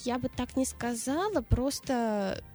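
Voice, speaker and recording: A woman's voice: on the high side, animated, takes it slowly, mellow, soft background music. Hesitant young woman radio guest. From Russia. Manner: hesitant, many fillers and restarts. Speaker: radio show guest, videographer. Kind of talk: casual interview answers.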